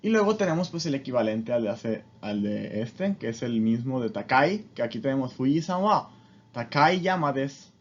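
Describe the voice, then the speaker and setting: A man's voice: medium pitch, animated, normal speed, dull audio. A man giving an informal, explanatory language lesson as a teacher, with a didactic manner and frequent short check-in questions.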